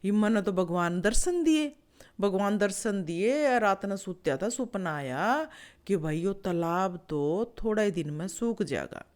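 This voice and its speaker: A woman's voice: medium pitch, expressive, a little slow, darkish tone, natural voice. A woman voicing a sly old male heron who acts pious and self-pitying in a folk tale, with a Haryanvi accent. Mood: tearful, pious.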